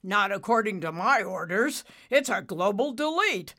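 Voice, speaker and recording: A woman voicing a big, confident father storm cloud in a story, booming and emphatic, with a secretive streak. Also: sing-song, a little slow, a bit bright. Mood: firm, confiding.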